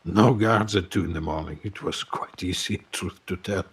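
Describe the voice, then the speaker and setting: An actor playing a jealous, embittered male film producer in an audio drama, speaking formally and with grievance in a gravelly, husky voice. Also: sits low, normal speed, fairly bright tone, exaggerated voice. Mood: bitter, self-justifying. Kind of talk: dramatic audio fiction confession.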